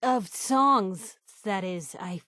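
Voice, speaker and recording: A young American woman working as a reporter in a post-apocalyptic game world. She talks in snappy, streetwise banter with a sardonic edge and a stubborn, nosy drive. Her voice sits at a medium pitch and is recorded very dry. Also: very slow, bright, forceful tone, no echo. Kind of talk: video game character dialogue.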